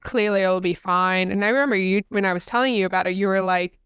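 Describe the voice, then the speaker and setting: A young woman co-host chats loosely, with fillers and quick restarts, her nose congested. Her voice comes through very clear, and the recording is dry with no room sound. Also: medium pitch, fairly bright tone, dry recording. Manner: chatty, many fillers and self-corrections. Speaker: podcast co-host. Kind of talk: casual chat.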